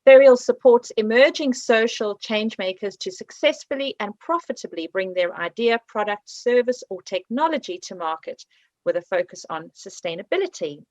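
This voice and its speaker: A woman's voice: medium pitch, animated, moderate pace, no room sound. A South African woman with an accent gone partly British, hosting an online talk series and introducing the speakers upbeat. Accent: South African, slightly British. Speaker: host.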